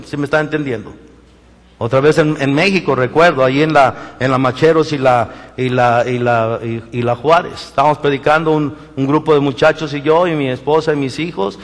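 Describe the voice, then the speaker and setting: A Mexican pastor preaches in a somewhat high voice and tells his own stories with energy, acting out the voices of the people in them. He often stops and restarts his sentences as he talks. The sound rings with the long reverb of a church hall. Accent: Mexican Spanish. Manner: animated storytelling, acts out quoted voices, many restarts. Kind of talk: sermon with personal stories. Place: church sanctuary.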